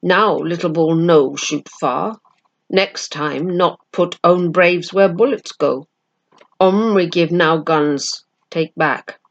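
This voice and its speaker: A woman reader acts out a stern, proud warrior chief from a storybook. The speech is slow and terse, in clipped broken English, with a bitter edge. The recording is slightly dull. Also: fairly low pitch. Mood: grave, terse.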